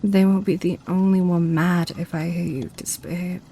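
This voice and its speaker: A woman playing a playful, seductive succubus in an ASMR roleplay, with a breathy, whispery, coaxing delivery. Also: lowish voice, steady tone, warm tone, a little hiss or hum, lightly acted. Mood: possessive, teasing. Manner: teasing, coaxing, slow. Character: playful, teasing female succubus, seductive and possessive.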